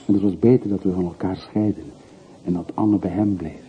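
A man's voice: low-pitched, fairly even intonation, brisk, close to an everyday voice. A man acting in a radio play as a wistful, well-off middle-aged man who speaks in short, brooding, confessional sentences. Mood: brooding, regretful. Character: wistful middle-aged man of forty-three, wealthy returned emigrant. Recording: muffled phone-like old radio recording, hissy.

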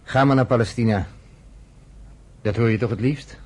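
An actor in a Dutch radio play voicing a wry, fatherly, teasing man with a husky voice. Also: medium pitch, normal speed, natural voice. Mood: probing, mocking. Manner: sardonic, fatherly. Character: wry, teasing American man, friend of a nurse.

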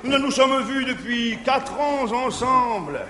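A man's voice: very high-pitched, unhurried, sharp tone, full character voice. An actor playing a scheming middle-aged bourgeois man in a 1950s verse stage comedy, jovial and mocking, laughing often. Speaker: Arnolphe. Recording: old theater recording, echoey hall, faint hiss.

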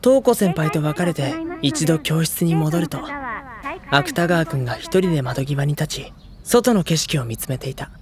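An actor playing a gentle, earnest high school boy who speaks and narrates sincerely in an audio drama. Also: expressive, moderate pace, crowd noise, lightly acted. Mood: reflective, tender.

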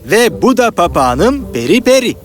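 A man's voice: sits high, big pitch swings, normal speed. A man voicing an earnest, scatterbrained, melodramatic poet in a cartoon fairy tale. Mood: earnest, pleading. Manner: melodramatic, pleading. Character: earnest, dreamy, scatterbrained lovesick male poet. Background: music.

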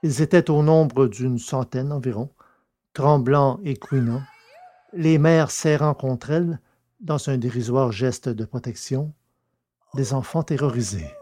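Male audiobook narrator, deliberate and measured, warm dark tone. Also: mid-range voice. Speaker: narrator and producer.

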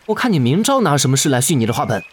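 A man playing a gentle but defiant, self-sacrificing young immortal in an audio drama, with earnest, expressive delivery. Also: medium pitch, very fast, slight background noise, close to an everyday voice. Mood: teasing. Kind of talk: Chinese audio drama acting.

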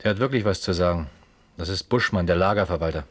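An actor playing a brisk, businesslike detective in charge in a German radio crime play. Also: male voice, deep voice.

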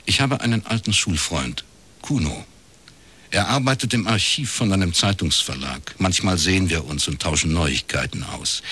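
An actor playing a wry, laconic private detective with a husky voice, in radio crime drama narration and dialogue. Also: male voice, low voice, exaggerated voice. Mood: dry, matter-of-fact. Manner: dry, deadpan, understated. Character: wry, laconic male East Berlin private detective, ex-academic.

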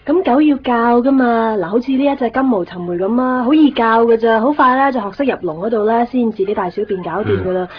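A woman's voice: a little high, quick pace, hardly any pauses, lightly acted. An actress playing a chatty, pushy pet shop saleswoman in a radio drama, coaxing in a Hong Kong accent. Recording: dull radio recording with faint noise.

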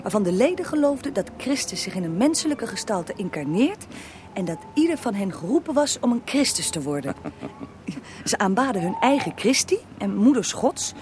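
An actress playing an eager, awed young female journalist with an animated, inquisitive manner in a radio drama. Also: medium pitch, moderate pace, background noise, close to an everyday voice.

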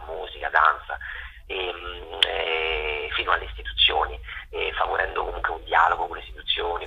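A man giving halting, repetitive answers as a guest in a radio interview over the phone. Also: sits low, creaky, slightly rough. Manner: halting, with repeated words. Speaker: festival organiser and interview guest. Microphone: phone call to radio studio. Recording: dull, lo-fi telephone line.

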